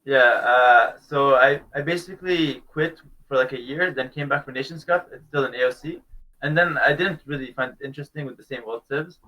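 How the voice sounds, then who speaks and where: A man's voice: medium pitch, a little fast, pushed and bright, rough recording. A young man from Canada, answering interview questions over a video call in a laid-back way, chuckling now and then. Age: young adult. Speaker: guest.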